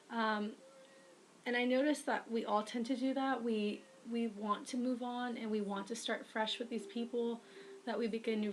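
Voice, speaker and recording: A young woman with a raspy voice talks straight into her webcam. She gives earnest advice in a casual, friendly way, as if speaking to a friend. Her pitch sits in a middle range. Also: a little hiss or hum, poor audio. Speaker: writer giving advice.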